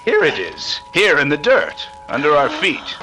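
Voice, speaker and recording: A man playing a shrewd, authoritative detective in a 1930s-style radio mystery, speaking with deliberate, accusing authority. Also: on the high side, huge pitch swings, music in the background. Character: shrewd, commanding male detective exposing a murderer.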